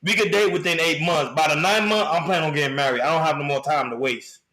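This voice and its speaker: A man's voice: fairly high pitch, fast, bright, forceful tone, slight background noise. Blunt man in his thirties, roomy echo. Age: 30s. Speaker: podcast co-host. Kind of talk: opinionated casual panel talk.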